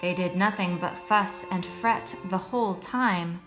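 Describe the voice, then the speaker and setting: A woman's voice: fairly low pitch, measured pace, a bit bright, low-quality recording. Woman reading a fairy tale over music. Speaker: storyteller, first-grade teacher. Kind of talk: read-aloud children's storytelling. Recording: music behind the voice.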